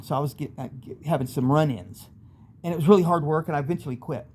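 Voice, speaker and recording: A man's voice: fairly high pitch, darkish tone. A middle-aged American man telling personal stories casually, in a rambling, candid way with street slang. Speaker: storyteller, channel host. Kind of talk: casual first-person storytelling monologue.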